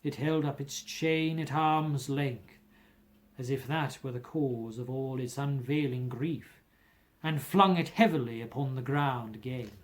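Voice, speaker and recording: A man reading a classic story aloud as an amateur narrator, with expressive scripted delivery. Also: medium pitch, measured pace. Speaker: amateur audiobook reader.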